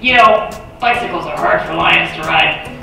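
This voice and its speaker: A woman's voice: fairly low pitch, normal speed, creaky, sharp tone, recorded from a distance. Woman voicing a boastful lion puppet. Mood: helpful. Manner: jokey, exclaiming. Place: library video set. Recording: echoey room, voice from off mic.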